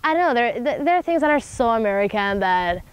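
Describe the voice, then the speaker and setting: A woman's voice: on the high side, lively intonation, a little fast, clean tone, no room sound, dull audio. Chatty teen girl with a Spanish accent. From Spain. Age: teens. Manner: chatty, full of "like" and "I don't know". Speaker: student interviewee. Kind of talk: documentary interview.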